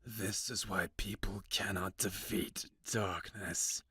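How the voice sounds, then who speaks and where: A man's voice: low voice, fairly even intonation, slow pace, slightly rough, heavily acted. A man voicing a cold, taunting darkness that possesses a young man, half-whispered and menacing, in a game fan dub. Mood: cold menace. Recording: very loud music over the voice.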